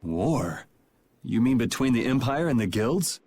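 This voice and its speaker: A man's voice: mid-range voice, big pitch swings, measured pace, darkish tone, a little hiss or hum. A man voicing a laid-back, perceptive young hero in a video game, with a casual, wry manner. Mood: calm, probing.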